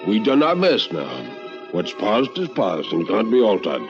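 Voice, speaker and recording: A man's voice: on the low side, sing-song, unhurried, over music. A guarded country policeman in a radio story. He speaks in a plain, rustic, confiding way, uneasy underneath, through a dull vintage recording. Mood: guarded, warning.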